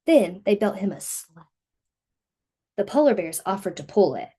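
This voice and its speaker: A woman reading a picture book aloud to young children in an expressive storytelling manner. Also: medium pitch, normal speed, breathy edge.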